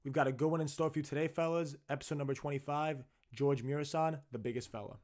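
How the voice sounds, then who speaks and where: A man's voice: fairly high pitch, fairly even intonation, darkish tone. A young man hosting a casual podcast interview, eager and chatty, leaning on "I mean" as he talks. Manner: eager, rapid, lots of "I mean" fillers. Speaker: podcast host.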